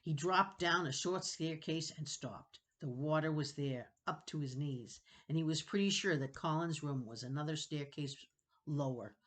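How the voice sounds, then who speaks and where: A woman's voice: low voice, normal speed. A woman narrating a children's novel dramatically, reading with expression and suspense. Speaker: storybook reader.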